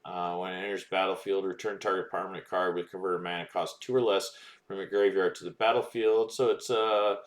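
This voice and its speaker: A man's voice: low voice, steady tone, fairly quick. A man casually commenting as he opens booster packs, reading card text aloud with plenty of ums and uhs. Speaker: card-opening streamer.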